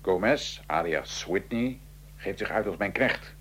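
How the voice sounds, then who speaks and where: A man's voice: low voice, lively intonation, fairly bright tone, slight background noise. An actor playing a proud Italian revolutionary assassin of the nineteenth century, recounting his plot in a theatrical, emphatic radio-drama manner. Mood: cautioning, measured. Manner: theatrical, emphatic, measured storytelling. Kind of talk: radio drama acting, interview-like dialogue.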